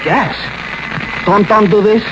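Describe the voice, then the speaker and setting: A man's voice: high voice, slow. An actor playing a jumpy, simple-minded, threatening henchman with a Spanish accent and blunt broken English in a radio drama. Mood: wary. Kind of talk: 1940s radio acting. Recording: noisy vintage radio recording.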